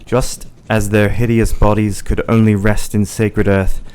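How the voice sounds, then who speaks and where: Guy playing serious devoted young victorian husband in radio drama, low smooth clear voice, earnest old fashioned wording. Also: level tone, unhurried, darkish tone, close to an everyday voice. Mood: sombre, resolved. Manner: earnest, literary period diction. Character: devoted, sombre young Victorian husband writing his journal. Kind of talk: radio play acting and narration.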